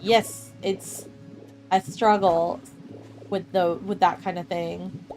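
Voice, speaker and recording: A woman's voice: fairly low pitch, steady tone, takes it slowly, a little mellow, soft background music. A young woman streaming a game, chatting casually and rambling with frequent laughs as she answers her chat. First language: English. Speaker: gaming streamer. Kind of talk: casual chat with live chat while playing.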